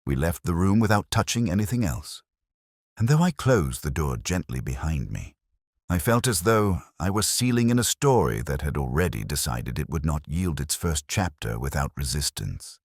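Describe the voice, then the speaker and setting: A man reading a scripted first-person detective story as narrator, in a measured, solemn, literary manner. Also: a bass voice, very animated, no room sound, studio quality.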